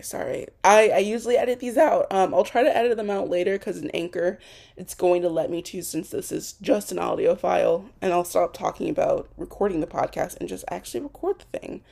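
A woman's voice: lowish voice, creaky, a little mellow. A young woman hosting a solo podcast, talking off the cuff with many restarts, as she starts to lose her voice. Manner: rambling, with frequent restarts and ums. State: losing her voice. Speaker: podcast host. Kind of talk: unscripted solo podcast monologue. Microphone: home recording for an audio podcast.

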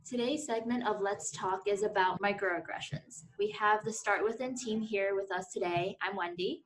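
A woman hosting a group discussion, reading a measured, reflective introduction. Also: mid-range voice, poor audio. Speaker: segment host.

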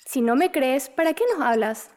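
A woman playing a gentle, playful girl from the moon in an audio drama. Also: high voice, expressive, normal speed. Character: playful, gentle moon girl, mysterious blue-haired child visitor.

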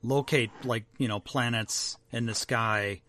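A man's voice: medium pitch, steady tone, measured pace, pushed and bright, a little hiss or hum. A man in his fifties co-hosting a casual podcast chat, reading news items aloud and laughing easily. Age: 50s. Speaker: podcast co-host.

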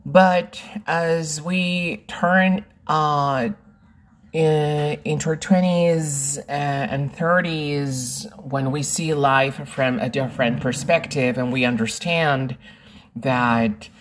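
A woman from Peru, hosting a podcast and reflectively telling a personal story with many pauses and fillers. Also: low voice, fairly even intonation. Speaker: podcast host and English teacher.

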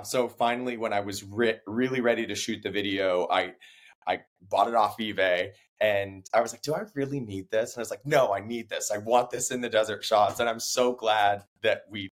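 Young male guest, hoarse and low, animated storyteller. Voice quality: deeper than usual. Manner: animated storytelling, acts out his own quotes. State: hoarse from laryngitis. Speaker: singer-songwriter guest. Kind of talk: casual interview chat.

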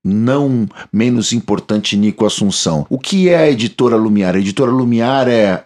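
A Brazilian man co-hosting a podcast, talking casually and enthusiastically about bass records and books, with many restarts. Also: darkish tone. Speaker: podcast co-host, bassist.

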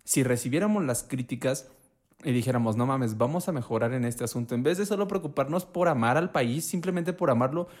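A man with a Mexican accent chatting casually as a podcast co-host, swearing often and acting out quotes in an animated way. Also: medium pitch, a little fast. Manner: slangy, profane and animated, acts out quotes. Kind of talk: casual conversation.

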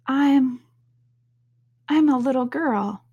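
A woman's voice: high-pitched, sing-song, slow pace, husky, dull audio, voice pushed up, heavily acted. A woman voicing a bewildered, earnest little girl in a storybook, polite yet protesting. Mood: hesitant, polite. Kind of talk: storybook character voice.

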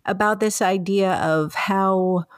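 A woman from Mexico hosting an interview, chatty and animated, acting out the remarks she quotes. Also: medium pitch, slow, no room sound, clean studio sound. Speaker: interviewer, host. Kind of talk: casual interview conversation.

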